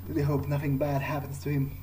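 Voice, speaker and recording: A man improvising in character as he plays a game, speaking hesitantly and in a measured way with pauses. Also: on the high side, completely flat delivery, warm tone, slight background noise, poor audio. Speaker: gamer playing in character. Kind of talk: improvised in-character role-play over a game.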